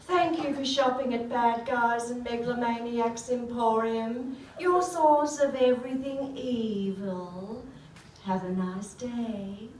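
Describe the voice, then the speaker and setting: A woman's voice: a little high, slow, darkish tone. A woman playing a chatty, matter-of-fact shop cashier in a live stage comedy. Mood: businesslike. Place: theatre stage, live performance. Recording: echoey, distant, noisy theatre recording.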